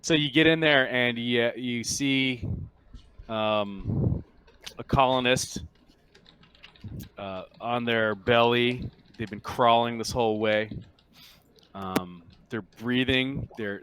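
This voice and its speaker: Guy game mastering a tabletop rpg, informal, kinda halting, making it up as he goes. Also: medium pitch, fairly bright tone, smooth. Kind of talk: improvised narration of a tabletop roleplaying game.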